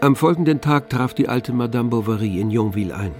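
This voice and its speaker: A man narrating a literary radio drama in a measured, composed storytelling manner. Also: mid-range voice, animated, a little mellow, faint music behind. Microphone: close, dry studio microphone.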